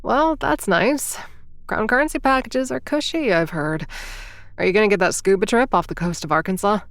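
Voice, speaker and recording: A woman's voice: medium pitch, moderate pace, no room sound, natural voice. A woman narrating and playing a wry, anxious would-be influencer close to thirty, full of rambling self-deprecating asides. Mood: teasing. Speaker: narrator and protagonist. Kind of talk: first-person audio drama narration.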